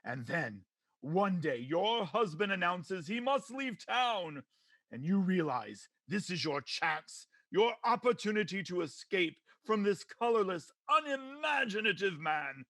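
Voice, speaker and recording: An actor playing a pompous, preachy judge in an old-time radio comedy, with theatrical delivery. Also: male voice, sits high, sing-song, unhurried, sharp tone, dry recording, heavily acted. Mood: mock-sympathetic, dramatic. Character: pompous male judge presiding over comic domestic court.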